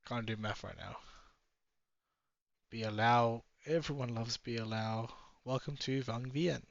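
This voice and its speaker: A man's voice: mid-range voice, slow, gravelly, no room sound. Chatty man in a car. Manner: chatty, musing aloud, easily sidetracked. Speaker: travel vlogger. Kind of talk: casual running commentary from a car. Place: inside a moving car. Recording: slightly dull, dry sound.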